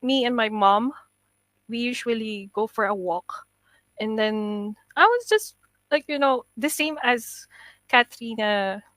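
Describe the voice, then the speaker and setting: A woman in her forties from the Philippines with a Filipino accent, a guest on a casual live chat, relaxed and laughing, mixing Tagalog into her English. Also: a little high, takes it slowly, no echo. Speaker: guest, business partner.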